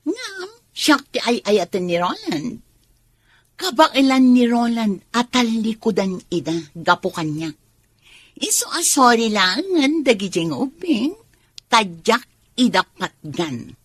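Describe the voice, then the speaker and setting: A haughty radio-drama mistress, defiant and selfish. Her husky voice runs high and swoops widely, and she stretches her words slowly in a dry studio. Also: big pitch swings, no echo, exaggerated voice. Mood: haughty, unrepentant. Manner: haughty, drawn-out dramatic delivery. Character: defiant, selfish mistress clinging to a married man.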